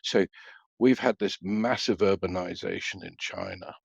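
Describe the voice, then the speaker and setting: A middle-aged man, an analyst answering interview questions in a measured, halting way with many fillers. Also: low voice, huge pitch swings, creaky, husky, no echo, dull audio. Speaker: freight market analyst guest.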